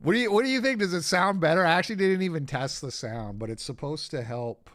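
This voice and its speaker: A man with a raspy voice hosting a casual livestream, rambling, sarcastic and quick to get irritated. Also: on the high side, lively intonation, fairly bright tone. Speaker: host.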